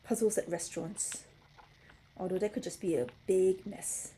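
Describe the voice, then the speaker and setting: A woman's voice: lowish voice, fairly even intonation, moderate pace, warm tone, slight background noise, rough recording. A middle-aged woman from Singapore hosting a puzzle livestream, chatting casually and answering comments from viewers.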